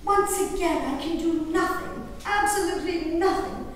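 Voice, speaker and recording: A woman performing an earnest, theatrical diary monologue as an emotive eighteenth-century colonial gentlewoman, with formal diction. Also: very high-pitched, moderate pace. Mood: helpless despair. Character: grieving, nature-loving 18th-century colonial gentlewoman reading her diary. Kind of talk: dramatic stage monologue reading of a diary. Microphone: distant room microphone. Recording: very echoey hall, distant mic, background hiss.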